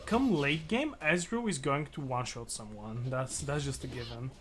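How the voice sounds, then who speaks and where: A man's voice: medium pitch, normal speed. A man giving casual esports analysis as a co-commentator, often repeating words as he starts his sentences.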